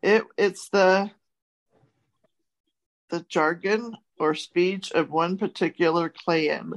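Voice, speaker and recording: Slow-paced woman hosting a word quiz. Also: fairly low pitch, rough recording. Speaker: host of a word-definition quiz. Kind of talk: casual chat.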